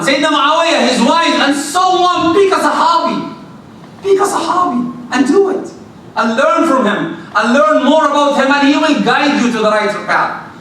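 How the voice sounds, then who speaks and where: Middle-aged preacher with a loud, high, bright voice, lecturing youth from a distance in a very echoey hall. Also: male voice. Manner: direct, rhetorical questions, animated. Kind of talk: religious talk to young people. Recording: very echoey hall, distant mic, faint noise.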